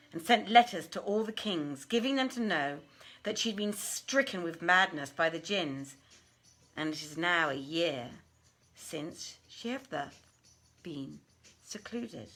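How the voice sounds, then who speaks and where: A woman reading a literary story aloud in a theatrical, deliberate and expressive manner. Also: lowish voice. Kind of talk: dramatic literary story narration.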